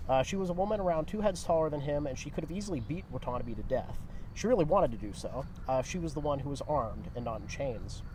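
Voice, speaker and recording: Quick-talking man over clear car noise. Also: on the high side, animated, darkish tone. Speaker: co-reader in a story read-along. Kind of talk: humorous story reading with casual chat. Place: outdoors near a historic town site.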